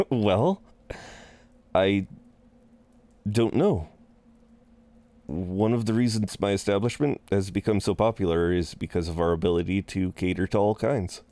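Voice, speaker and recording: A man playing a courteous, chatty incubus innkeeper in an intimate audio roleplay, with polite, hesitant pauses and a flirtatious streak. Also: deep voice, dark tone. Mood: courteous, amused. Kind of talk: intimate scripted roleplay acting.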